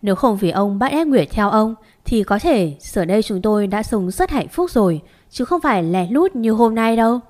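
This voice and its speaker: A woman voicing a calm, defiant male chauffeur who answers steadily. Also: mid-range voice, moderate pace, few pauses, close to an everyday voice.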